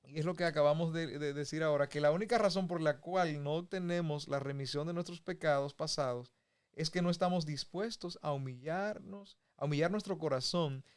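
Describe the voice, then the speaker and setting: Male preacher, somewhat high voice, folksy, conversational Bible teaching. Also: fairly quick, smooth. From Dominican Republic. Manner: folksy, with homey examples and chuckles.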